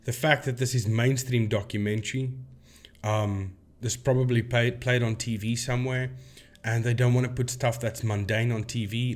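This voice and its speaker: A man hosting a casual explainer video, talking informally to viewers and thinking aloud as he demonstrates. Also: medium pitch. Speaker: video host, paranormal debunker.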